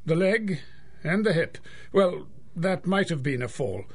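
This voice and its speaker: Kindly old family doc, husky and creaky, measured, radio play. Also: male voice, fairly high pitch, animated, exaggerated voice. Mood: grave. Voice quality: gravelly, husky. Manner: measured, gently reassuring. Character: kindly, frank older family doctor. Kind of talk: 1930s-style radio drama acting.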